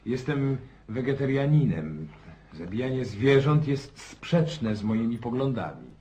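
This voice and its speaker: An actor playing a polite, reserved and principled gentleman guest in a radio drama, prim and courteous. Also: medium pitch, big pitch swings, unhurried, a little hiss or hum. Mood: disapproving. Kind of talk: Polish radio drama acting.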